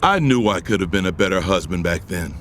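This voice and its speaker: An actor playing a scheming, arrogant middle-aged billionaire in an audio drama, both speaking in scenes and narrating, with a smug, commanding manner. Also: male voice, deep voice, animated, brisk, fairly bright tone, slight background noise. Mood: reflective, confessional. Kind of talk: audio drama acting with first-person narration. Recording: music under the voice. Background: music.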